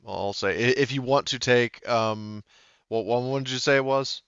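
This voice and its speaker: A man's voice: medium pitch, fairly even intonation, moderate pace, a bit bright, clean tone, no room sound, lo-fi sound. A man running a tabletop game, chatting casually with players, hesitant and full of fillers, swearing now and then. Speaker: game master.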